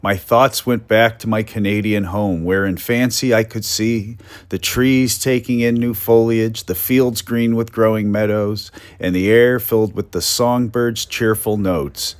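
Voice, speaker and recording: A man's voice: lowish voice, no room sound, natural voice. An American man doing a dramatic, projected reading as a forlorn, indignant young railroad telegraph employee of the 1890s. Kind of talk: dramatic reading of an old letter.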